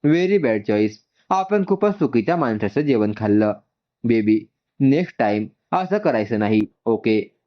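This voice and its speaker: A playful young expectant mother, acted out by a male narrator, coos and mock-scolds in a storybook tale. The pitch moves around in a lively way from a middle register. The sound is slightly dull. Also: unhurried, lightly acted. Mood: playful scolding. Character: young pregnant woman, playful, chatting to unborn baby.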